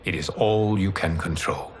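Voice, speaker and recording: Man acting calm, low-voiced wise mentor lord. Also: background noise, lightly acted. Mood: calm guidance. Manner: measured, formal, serene. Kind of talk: video game cutscene acting.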